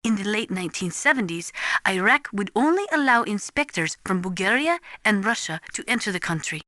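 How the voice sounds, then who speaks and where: A woman's voice: medium pitch, expressive, fairly quick, sharp tone, dry recording, clean studio sound. A woman playing an earnest, articulate nuclear weapons analyst in a spy video game, explaining in a precise, formal, lecturing manner. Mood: grave, explanatory. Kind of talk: scripted expository video game dialogue.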